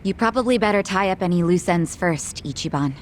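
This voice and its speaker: A woman's voice: lowish voice, a little fast, a little hiss or hum, lightly acted. A woman voicing a level-headed, teasing comrade in a crime drama video game. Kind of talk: video game cutscene acting.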